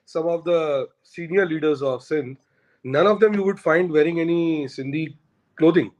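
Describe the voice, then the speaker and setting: A man's voice: on the high side, moderate pace, darkish tone, lo-fi sound. A man, an Urdu speaker, arguing his points emphatically in a conversational discussion. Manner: emphatic, argumentative, repeats points. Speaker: guest.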